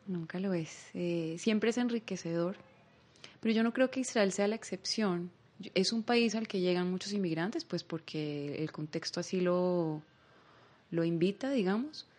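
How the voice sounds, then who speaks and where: A woman with a Bogotá Colombian accent talks as a guest in a calm, reflective interview. She picks her words with care and often stops on small fillers as she works out her thoughts. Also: medium pitch. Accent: Bogotá Colombian Spanish.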